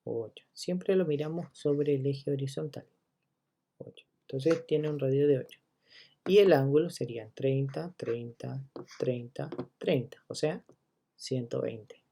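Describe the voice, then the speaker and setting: A man teaching a geometry lesson, counting steps out loud and checking in with listeners as he goes. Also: mid-range voice, unhurried, gravelly, slightly rough. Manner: walks through each step, checking in with listeners; counts items out loud one by one. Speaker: math teacher.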